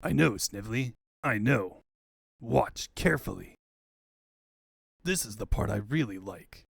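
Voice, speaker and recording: A man's voice: on the low side, lively intonation, unhurried, vocal fry, breathy edge, no echo, studio quality, heavily acted. A man voicing a scheming male mad-scientist villain with a pompous, gloating manner in a comic book fandub. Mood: smug gloating.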